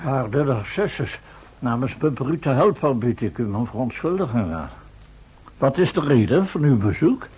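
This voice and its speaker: An actor playing a querulous, ironic gentleman with a husky voice and formal, theatrical manner in a Dutch radio drama. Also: medium pitch, big pitch swings, moderate pace. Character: sardonic, querulous gentleman leading stranded travellers. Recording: muffled old radio play recording.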